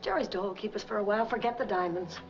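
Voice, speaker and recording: A woman's voice: medium pitch, quick pace, lightly acted. A woman playing a gangster's wary girlfriend in a 1940s crime film. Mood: anxious, pleading. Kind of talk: 1940s film noir acting. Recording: dull vintage film soundtrack.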